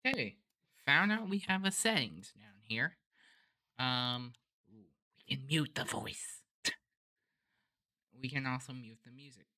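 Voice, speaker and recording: Casual game host, slow and halting, very bright forceful voice, close dry sound. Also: mid-range voice, animated, dry recording. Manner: hesitant, thinking aloud while typing. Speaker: gamer host. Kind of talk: casual game playthrough commentary.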